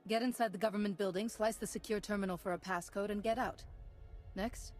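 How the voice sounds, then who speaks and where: An actress playing a composed, resolute Jedi master, formal and confident in a measured way. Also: female voice, mid-range voice, fairly even intonation, a little mellow, faint music behind, close to an everyday voice.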